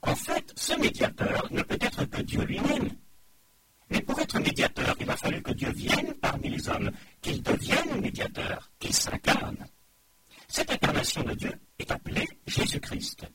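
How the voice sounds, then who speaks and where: A middle-aged man preaching a sermon in a measured, explanatory way, often quoting other voices. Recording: loud background noise.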